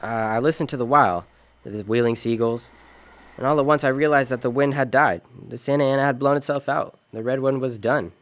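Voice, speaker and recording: An actor playing a world-weary, decent American private detective in an old-time radio drama, wry and clipped. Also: male voice, mid-range voice, fairly quick, lightly acted. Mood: wistful, wry. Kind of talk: 1940s detective radio drama, first-person narration and dialogue.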